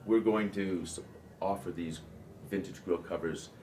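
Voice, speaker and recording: Low-voiced man presenting products over room noise. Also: fairly even intonation, a little slow, darkish tone. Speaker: audio distributor presenting products. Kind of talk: informal trade show product presentation. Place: indoor trade show demo room. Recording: clearly noisy trade show room.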